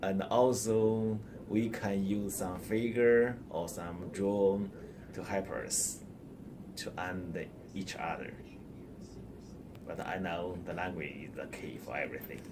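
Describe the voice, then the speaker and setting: A man with a non-native English accent, a lab director answering an interview question in a halting, careful way. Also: sits low, steady tone, noisy background. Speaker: core facility director.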